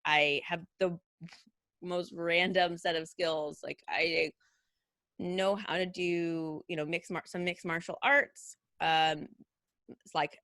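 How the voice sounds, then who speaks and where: Low bright-toned chatty woman. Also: fairly even intonation, takes it slowly, no room sound. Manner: chatty, many fillers like "like" and "you know". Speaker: guest, costume designer. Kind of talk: casual conversational interview.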